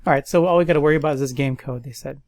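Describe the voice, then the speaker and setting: Man, stuffy nose, gravelly voice, dry close mic, relaxed coding walkthrough. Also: a little high, rapid-fire, dry recording. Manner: thinks aloud, relaxed and chatty. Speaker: coding tutorial host. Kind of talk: casual screen-recorded coding walkthrough.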